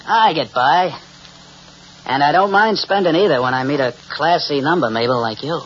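A man's voice: on the high side, moderate pace, fairly bright tone, lightly acted. A man playing a flirty, boastful middle-aged married man in 1940s radio drama, slangy and forward. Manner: slangy, smooth-talking, forward. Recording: muffled, noisy old radio recording.

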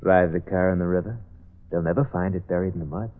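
A man playing an insane, cruel killer in a 1940s radio drama, speaking with slow, mocking menace, on a lo-fi old radio recording. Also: deep voice, close to an everyday voice. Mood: menacing. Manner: cold, mocking, deliberate menace. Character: insane scheming killer, older brother, cold and cruel.

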